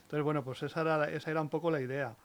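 A man's voice: fairly high pitch, fairly even intonation, racing. A man from La Guardia in Spain with a Castilian accent, chatting casually as a radio guest and often repeating phrases as he goes. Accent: Castilian Spanish. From La Guardia, Toledo, Spain. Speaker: interview guest, municipal archivist. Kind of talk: casual radio conversation about local history.

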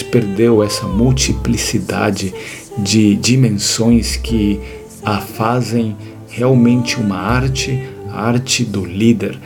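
A man hosting an educational series, explaining ideas in a measured lecture with deliberate, emphatic repetitions. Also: fairly low pitch, vocal fry. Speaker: host of an educational series on strategy.

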